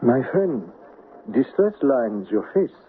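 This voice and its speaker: An actor playing a sage, measured confidant in a radio drama, formal and philosophical in manner. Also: male voice, medium pitch, big pitch swings, phone-quality sound, a little hiss or hum. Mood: calm, probing. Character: sage, measured male confidant named Chung Lee. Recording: band-limited old radio recording.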